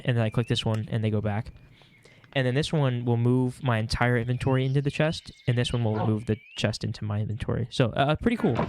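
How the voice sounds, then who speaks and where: A man's voice: medium pitch, noisy background. A young man with a stuffy nose, chatting casually and rambling while he plays a game. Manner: rambling, with many fillers. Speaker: Minecraft vlogger. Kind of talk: casual chat while gaming.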